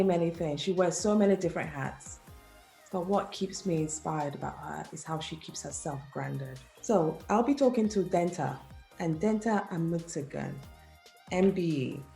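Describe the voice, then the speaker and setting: A woman's voice: fairly low pitch, gravelly, dark tone. A woman hosting a conversation show, giving scripted introductions of her guest and asking interview questions. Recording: roomy, echoing recording with music.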